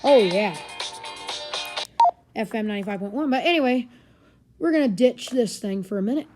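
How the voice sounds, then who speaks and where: A woman speaks in a somewhat high-pitched voice. She gives an informal, hands-on demonstration from her living room. Her delivery is casual and rambles as she goes. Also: animated, normal speed, a little mellow, faint music behind. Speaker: gadget reviewer. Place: carpeted living room indoors. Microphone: handheld camera or phone, speaker off screen.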